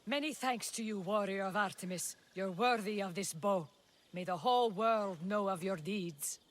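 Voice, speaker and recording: A woman's voice: mid-range voice, normal speed, lightly acted. A woman playing a solemn, noble guardian of wild beasts in a video game, speaking formally. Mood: solemn, grateful. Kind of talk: dramatic video game acting.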